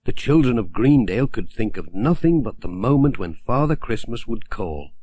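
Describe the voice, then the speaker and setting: A man narrating a children's story as an audiobook reader, in a gentle, expressive storytelling manner. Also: medium pitch, no room sound.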